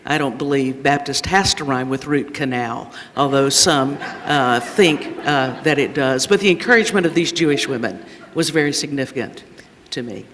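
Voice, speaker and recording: Wry panelist in a reverberant hall. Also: male voice, medium pitch, normal speed, fairly bright tone, slight background noise. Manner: wry, dry humor. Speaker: Baptist panelist. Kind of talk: panel remarks.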